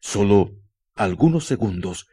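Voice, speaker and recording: A man narrating a scripted radio drama in a solemn, deliberate way, with dramatic pauses. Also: fairly low pitch, animated, warm tone, breathy edge.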